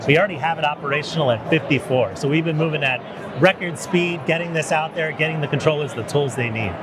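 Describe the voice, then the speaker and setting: Man, official giving a demo, confident with "you know" asides, slightly high voice, loud ambient noise. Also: fast talker. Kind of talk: product demonstration.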